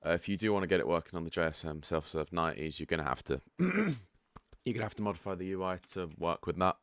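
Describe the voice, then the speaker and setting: Man, developer type, deep croaky morning voice, easygoing tutorial delivery, dry close sound. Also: fast, dry recording. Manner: relaxed and chatty, frank asides. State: croaky, just woken up. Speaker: product developer giving a setup tutorial. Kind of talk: casual screen-share walkthrough.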